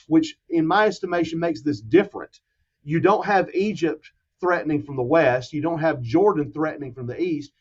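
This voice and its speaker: A man in his fifties, speaking as a guest expert in a podcast discussion with a measured, explanatory manner. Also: a little high, muffled recording. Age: 50s.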